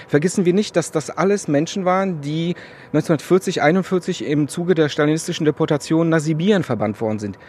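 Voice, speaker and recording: A man's voice: on the high side, darkish tone. A man, speaking earnestly and with measure in a radio interview. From Poland. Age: 40s.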